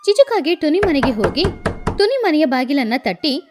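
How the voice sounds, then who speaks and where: A woman narrates a children's story in a high-pitched voice. She delivers scripted storytelling, with clear background music playing under her words. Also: very animated, moderate pace.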